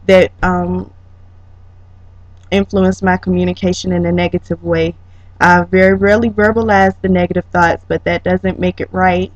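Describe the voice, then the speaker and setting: A student presenter giving a prepared, reflective slide presentation, speaking haltingly with drawn-out pauses in mid-sentence. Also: mid-range voice. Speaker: student presenter, educator training as a counselor. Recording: dull lo-fi sound with room echo.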